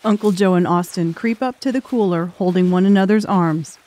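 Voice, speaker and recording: A woman's voice: on the low side, a little fast, dark tone. A woman reading scripted, dramatic story narration as an audiobook narrator.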